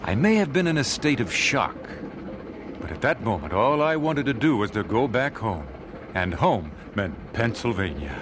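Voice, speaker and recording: An actor playing an earnest nineteenth-century American gospel singer in a radio drama, speaking in a measured, solemn, dramatic way. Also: male voice, medium pitch, sing-song, background noise, close to an everyday voice. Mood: solemn, reflective. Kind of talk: radio drama narration and dialogue.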